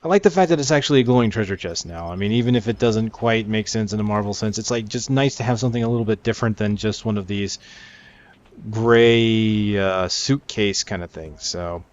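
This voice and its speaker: A man's voice: on the low side, fairly quick. A man giving casual walkthrough commentary on a video game, as the narrator of a gaming video. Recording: slightly dull mic, game music behind. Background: video game music.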